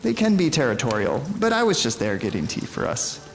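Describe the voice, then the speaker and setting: A man playing a gentle, wry old man in a sci-fi audio drama, with a gravelly voice and a genteel, hesitant way of talking. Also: mid-range voice, huge pitch swings, brisk. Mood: wry, reassuring. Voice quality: creaky, gravelly. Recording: long reverb, faint music. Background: faint music.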